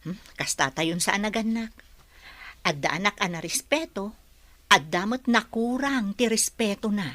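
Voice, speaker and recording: A woman's voice: medium pitch, normal speed, a bit bright, close to an everyday voice. A woman playing an elderly mother who gives patient, comforting advice in a radio drama. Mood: consoling.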